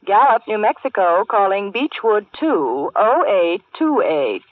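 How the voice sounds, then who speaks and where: A woman's voice: medium pitch, very animated, measured pace, no room sound. Female switchboard operator, formal lilting delivery, vintage radio style, thin phone-line sound with noise. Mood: formal, businesslike. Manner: formal, sing-song, procedural. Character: brisk, formal female long-distance telephone operator. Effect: telephone filter. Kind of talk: 1940s radio acting. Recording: tinny phone line, noisy old radio recording.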